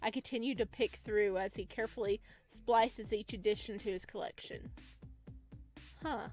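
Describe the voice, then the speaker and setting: A woman reading a visual novel aloud as a let's play host, dropping chatty, expressive reactions between the narration. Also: mid-range voice, moderate pace, soft background music.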